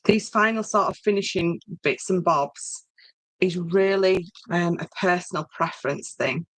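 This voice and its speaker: A woman with a Yorkshire accent and a fairly low voice, recorded close and dry. She talks viewers through a hands-on craft in an informal way, thinking aloud and checking herself with little tag questions. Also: a little slow, no room sound, rough recording. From Yorkshire, northern England. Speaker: craft tutorial host. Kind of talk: informal live craft demonstration, chatting to viewers.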